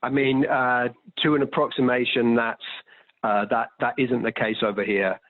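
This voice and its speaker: A man's voice: medium pitch, steady tone, moderate pace, no room sound. Man on a thin, phone-like call. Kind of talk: answering audience questions, conversational.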